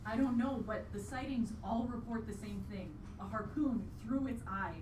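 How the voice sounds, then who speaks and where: A woman's voice: on the high side, moderate pace, background noise, lightly acted. A woman playing an earnest, determined mother in a live radio play. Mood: earnest, grave. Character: earnest, determined mother on a bog outing with child. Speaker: stage actor. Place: live theatre performance in a venue. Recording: roomy distant live stage recording, loud music.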